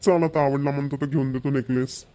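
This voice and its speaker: A man voicing a doting young husband in a cartoon, gentle and indulgent toward his wife. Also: medium pitch, fairly even intonation, brisk, natural voice. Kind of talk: cartoon voice acting.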